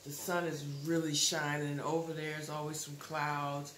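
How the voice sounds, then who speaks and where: A woman's voice: sits low, little pitch movement, normal speed, fairly bright tone. A woman in her thirties chatting casually to her camera at home, rambling with lots of fillers and restarts. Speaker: vlogger. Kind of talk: casual vlog chat. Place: living room, indoors. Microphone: camera close up. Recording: roomy echo, audible background noise.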